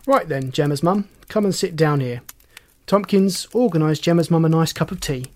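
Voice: medium pitch, normal speed, darkish tone, lightly acted. A narrator voicing a commanding, wry male police inspector in an audiobook, folksy and teasing with pointed questions. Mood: brisk, commanding.

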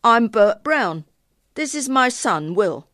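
A woman's voice: a little high, sing-song, slow, a bit bright, dry recording, pitched above the performer's own voice, exaggerated voice. A woman reads the part of a kindly farmer father in a storybook. He speaks plainly and reassuringly, taking his time, with a dry, close sound. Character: gruff but kindly farmer father driving a cart. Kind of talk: storybook reading.

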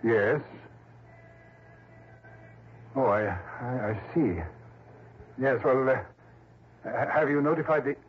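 A man's voice: mid-range voice, measured pace, close to an everyday voice. An actor playing an agitated, insistent American businessman in an early 1960s radio drama, fussy and given to repeating himself for emphasis. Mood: flustered. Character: agitated, insistent businessman sure of foul play. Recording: dull, hissy old radio recording.

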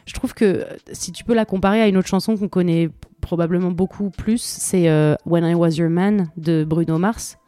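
A woman's voice: lowish voice, moderate pace, hardly any pauses, mellow, dry recording. A woman on a podcast, enthusiastically talking through song lyrics with many hesitations. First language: French. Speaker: podcast co-host. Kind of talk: casual chat about song lyrics.

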